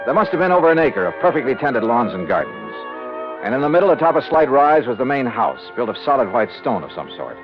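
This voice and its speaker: Wisecracking noir investigator, male actor: flippant, lively, old dull radio sound with music. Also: mid-range voice, fast talker, lightly acted. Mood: wry narrating. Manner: wry, flippant, casual. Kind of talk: 1950s radio noir acting and first-person narration. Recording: dull vintage radio recording.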